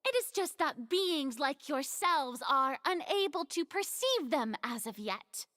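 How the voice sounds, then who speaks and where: A woman's voice: high-pitched, expressive, a little slow, sharp tone, no echo, studio quality, heavily acted. An actress playing a proud, theatrical young female god in a video game, pompous and defensive, haughty yet insecure underneath. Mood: evasive, lofty. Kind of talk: dramatic video game voice acting. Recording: faint background music.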